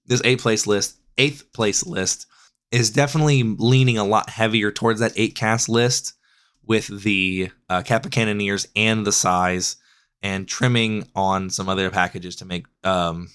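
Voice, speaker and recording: Male podcast host, hoarse and raspy from a cold, slightly low voice, loose self-correcting delivery. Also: fairly even intonation, a little slow, a bit bright. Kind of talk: casual deck analysis.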